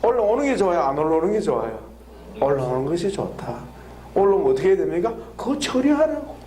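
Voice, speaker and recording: A man preaching to his congregation in a high voice that climbs and falls in wide, lively swings. He laughs through his lines and keeps firing questions for them to answer back, with a faint hiss beneath the recording. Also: fast. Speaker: pastor. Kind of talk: sermon with call and response. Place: church hall with congregation.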